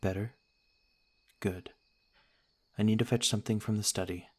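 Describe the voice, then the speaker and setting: A man playing an ancient noble vampire lord, calm, commanding and formally courteous, in an ASMR roleplay. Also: lowish voice, natural voice. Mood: stern composure. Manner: formal, measured, restrained. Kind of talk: ASMR roleplay audio drama.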